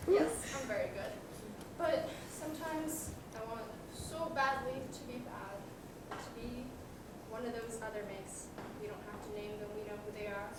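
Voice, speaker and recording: A woman's voice: on the high side, moderate pace, close to an everyday voice. A woman on stage playing a self-pitying, seductive washing machine that is tired of being perfect. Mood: martyred, self-pitying. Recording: distant mic, echoey noisy hall.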